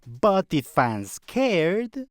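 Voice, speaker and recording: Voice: mid-range voice, sing-song, drawn-out words, faint music behind. A video essayist narrating a scripted fandom video in a conversational way, with rhetorical questions and wry asides.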